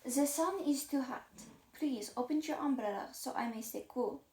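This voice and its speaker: Haughty pampered male storybook dog, played by a woman: imperious, fussy, higher-pitched, recorded in a roomy echo. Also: moderate pace, darkish tone, slight background noise, lightly acted. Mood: fussy, demanding. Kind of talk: read-aloud children's storybook.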